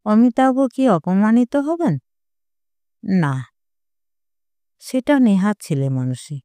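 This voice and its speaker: A woman's voice: mid-range voice, sing-song, slow pace, mellow, no room sound. A woman reading a literary short story aloud with expression. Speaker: audio story narrator.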